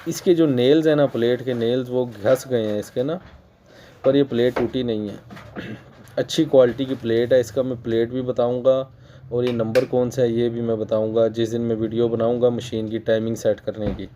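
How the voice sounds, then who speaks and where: A man from Pakistan with a Pakistani Urdu accent, hoarse from a cold, patiently explaining sewing machine work in a casual tutorial. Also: mid-range voice, steady tone, normal speed, mellow, a little hiss or hum. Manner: patient, repeats points for emphasis; clears his throat often. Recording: dull lo-fi recording.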